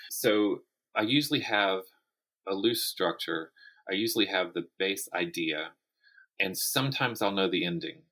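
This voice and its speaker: A man's voice: low voice, expressive, moderate pace, bright, forceful tone. A man speaking as a guest author in a casual interview, often restarting and repeating words as he thinks aloud.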